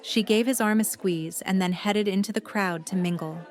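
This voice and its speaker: A woman's voice: medium pitch, moderate pace. A woman reading scripted third-person story narration for an audio drama.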